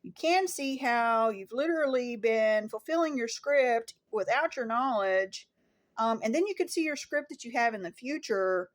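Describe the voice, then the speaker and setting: A woman's voice: a little high, expressive, normal speed. A woman giving an informal spiritual teaching monologue to viewers, speaking in an emphatic, declarative way with repeated phrasing. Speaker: spiritual astrology content creator.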